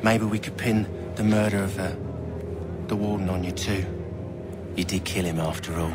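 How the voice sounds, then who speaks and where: A man's voice: deep voice, fairly even intonation, normal speed, lightly acted. An actor playing a gloating henchman in a video game cutscene, taunting in a mocking, colloquial way. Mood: smug menace. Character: sneering gloating villainous henchman, cocky and taunting. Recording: game music behind the voice. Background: music.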